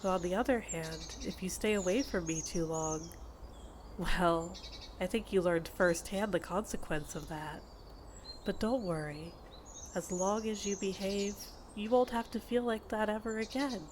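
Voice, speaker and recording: A woman playing a possessive, condescending fantasy healer in an ASMR audio roleplay, with a measured, intimate, teasing and reproachful delivery. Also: mid-range voice, darkish tone, slight background noise, close to an everyday voice. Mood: coaxing, possessive. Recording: insect and bird ambience behind voice. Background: outdoor nature ambience with crickets and birds.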